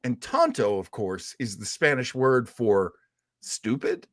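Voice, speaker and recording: Measured, slow-paced man hosting. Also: mid-range voice, wildly swooping pitch. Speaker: co-host. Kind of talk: live radio-history talk show, storytelling.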